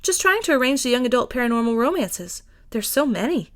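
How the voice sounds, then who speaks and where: A woman's voice: a little high, very animated, fairly quick, voice pushed up. A woman voicing a friendly, eager young female bookshop owner in an audiobook, gushing with enthusiasm. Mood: bright, friendly. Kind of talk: acted fiction reading.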